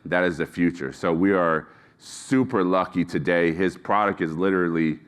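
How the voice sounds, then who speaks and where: A man hosting a live conference introduces the next speaker with informal enthusiasm. His voice is very deep, smooth and clear. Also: moderate pace. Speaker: event host. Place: conference hall.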